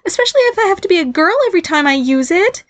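A squeaky, high-pitched storybook youngster, acted by a woman, a boy magically turned into a fairy girl. The delivery swings widely in pitch, indignant and pleading, with a sarcastic edge. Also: very animated, very fast. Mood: indignant, shouting. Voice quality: squeaky, girlish. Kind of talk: audiobook character acting.

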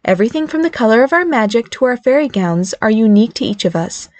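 Woman playing a firm, animated fairy mother. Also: high-pitched, normal speed, no echo, pitched above the performer's own voice. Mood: stern, impassioned. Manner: insistent, soothing yet firm. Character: firm, adamant fairy mother, sympathetic yet stern. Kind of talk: audiobook character acting.

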